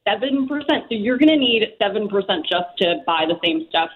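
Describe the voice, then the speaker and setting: A woman speaking as a personal finance expert guest on a talk show, quick and chatty, full of "like" and "you know" fillers. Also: medium pitch, animated, narrow, tinny audio. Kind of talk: casual talk show chat.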